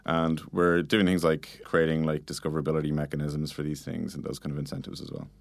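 A man's voice: extremely low pitch, level tone, a little fast, creaky, dry recording. A man being interviewed, explaining things casually and informally with lots of "like" and "you know". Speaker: interview guest, product manager. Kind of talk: casual conversational interview answers.

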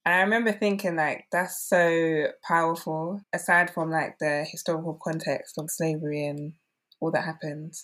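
A woman's voice: low-pitched, flat delivery, moderate pace, a bit bright. A woman, telling stories casually as a podcast guest and peppering her speech with "like". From England. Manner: chatty, peppered with "like". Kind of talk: casual conversational storytelling.

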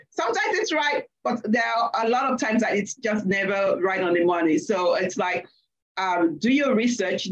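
A woman with a Nigerian accent talks through a story from her working life on a panel, often going back over her words. Her voice sits at a middling pitch. The sound is muffled and lo-fi. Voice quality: repeats words as she talks. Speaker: panelist, accounting leader. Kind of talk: panel discussion, personal story.

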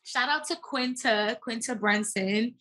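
A woman guest talking casually and informally in an interview, slangy and animated as she tells stories and quotes herself. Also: a little high, measured pace. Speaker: podcast guest, actress and business owner.

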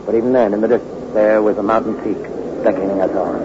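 A man's voice: lowish voice, steady tone, fast, background noise, close to an everyday voice. An actor playing a tough, greedy fugitive who tells his crime tale in a hard-boiled, slangy 1960s radio suspense style. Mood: wry, wary. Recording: dull old radio recording with music.

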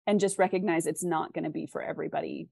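A woman's voice: low voice, animated, quick pace, creaky, a little mellow, no room sound, studio quality. A woman giving thoughtful, conversational interview answers as a guest author, explaining things and acting out imagined questions.

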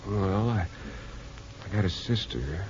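Charming army officer, deep voice, playful, 1950s radio drama, muffled recording with faint hiss. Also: male voice, expressive, takes it slowly, darkish tone. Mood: easygoing. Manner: playful, casual.

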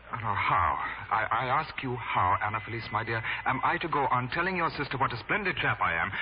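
An actor playing a lovestruck, proper British naval commander, stammering and gallant in 1940s radio drama style. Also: male voice, medium pitch, lightly acted. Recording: noisy, muffled old 1940s radio recording.

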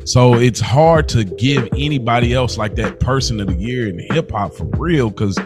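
A man's voice: mid-range voice, moderate pace, music in the background. A man co-hosting a podcast, talking casually and slangily, often peppering sentences with "like". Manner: informal, slangy, repeats "like" often. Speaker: podcast co-host. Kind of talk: casual chat about films and music.

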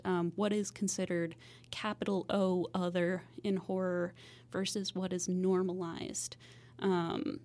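A woman's voice: fairly low pitch, a little mellow. A woman hosting a podcast, delivering scripted narration in a studio. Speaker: podcast host and producer.

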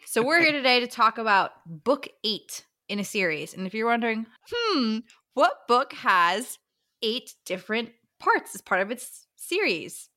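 Woman, very bright voice, podcast host, chatty and slangy, casual book talk. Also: fairly high pitch, big pitch swings, unhurried.

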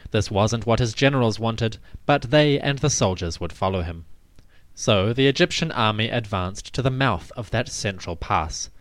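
A man's voice: fairly low pitch, dry recording. A man narrating scripted history as a dramatic, stately and emphatic storyteller. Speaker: host and narrator.

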